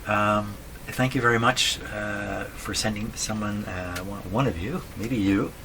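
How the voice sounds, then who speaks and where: A man thinking aloud in a casual, halting way as he explains a repair at his workbench, often putting questions to himself. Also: on the low side, pushed and bright. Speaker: radio repair hobbyist, host. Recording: clearly noisy room recording.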